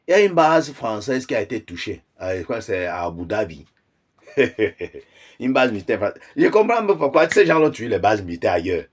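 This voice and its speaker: A man giving casual commentary, explaining his point with rhetorical questions and frequent chuckles. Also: medium pitch.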